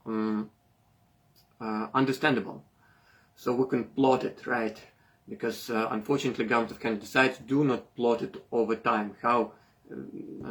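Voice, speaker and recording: A man from Ukraine talks through an online data seminar at a middle pitch. He speaks with plenty of fillers and self-corrections, pausing as he searches for words in a language that is not his first. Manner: hesitant, many fillers and restarts. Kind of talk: informal online seminar talk.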